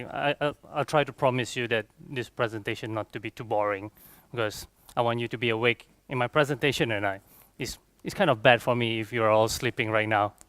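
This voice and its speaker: A man's voice: mid-range voice, a bit bright, no echo. A young man with a Malaysian accent giving an informal, chatty technical talk as a conference speaker, often checking in with his listeners. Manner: chatty, frequent rhetorical check-ins like "okay" and "right". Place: conference room, on stage before a seated audience.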